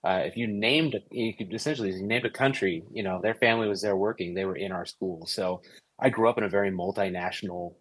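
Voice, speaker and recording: Podcast guest: young American man, low husky voice, relaxed and reflective. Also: quick pace, low-quality recording. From United States, raised in Papua New Guinea. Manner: relaxed, thoughtful, with frequent fillers and restarts. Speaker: podcast guest, commercial pilot. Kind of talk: casual conversational interview.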